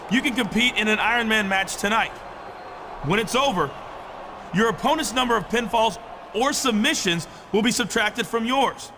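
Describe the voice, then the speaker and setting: A man's voice: high-pitched, background noise. A man playing a smug, obsequious wrestling management lackey, explaining things in scripted game dialogue.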